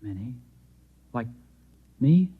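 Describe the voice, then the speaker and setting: Husky, bewildered stranded Martian. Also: male voice, mid-range voice, very animated, slow pace, full character voice. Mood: bewildered, pleading. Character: unstable, bewildered last Martian trapped in a human body. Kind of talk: old-time radio drama acting. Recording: dull lo-fi old radio recording.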